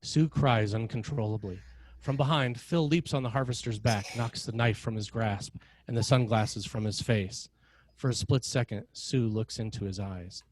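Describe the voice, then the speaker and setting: A man with a lowish voice narrates a screenplay. He reads stage directions in a measured, dramatic style. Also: darkish tone.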